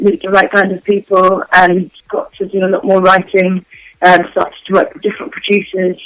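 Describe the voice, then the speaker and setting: A woman singer being interviewed, her voice worn out and going hoarse, chatting loosely with lots of ums and restarts. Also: mid-range voice, dry recording, low-quality recording. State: losing her voice. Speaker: guest vocalist. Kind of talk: casual interview answers.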